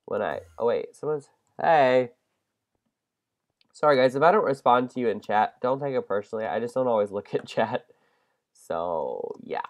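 Congested, excitable young man gaming. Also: fairly low pitch. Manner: excitable, self-deprecating, shrieks at mistakes. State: congested from a head cold. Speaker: gaming channel host. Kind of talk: casual Minecraft let's-play commentary.

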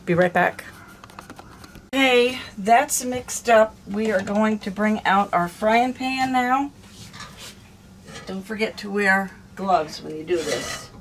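An older woman with a hoarse throat, chatting casually through a cooking vlog in a small camper kitchen. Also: medium pitch, slow, slight background noise, poor audio. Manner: chatty, homey, rambling. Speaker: cooking vlogger.

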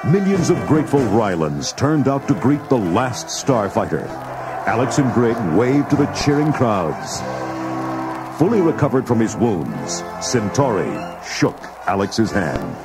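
A man's voice: mid-range voice, sing-song, measured pace. A man reads a scripted storybook narration. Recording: loud music under the narration.

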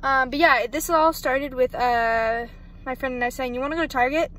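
High-voiced chatty young woman in a car. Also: moderate pace, a bit bright. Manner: chatty, swears freely, self-mocking asides. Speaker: vlogger. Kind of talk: casual vlog chat. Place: parked car at night, indoors. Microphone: phone camera held or propped close.

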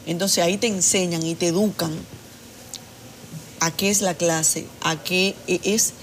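A woman's voice: fairly low pitch, fairly even intonation, unhurried, a little hiss or hum, poor audio. A middle-aged woman, a fashion designer guest in a TV studio interview, talking earnestly and often repeating and restarting her phrases. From Dominican Republic. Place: TV studio set.

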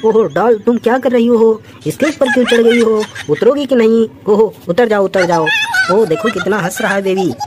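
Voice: mid-range voice, moderate pace. A host narrating toy play for children in a playful, exclamatory way, full of repeated little cries of wonder. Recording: very noisy background.

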